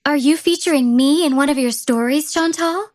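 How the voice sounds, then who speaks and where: A woman's voice: sits high, normal speed, a little mellow, close to an everyday voice. A woman voicing spirited young female trainers in short scripted video game lines. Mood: poised, elegant.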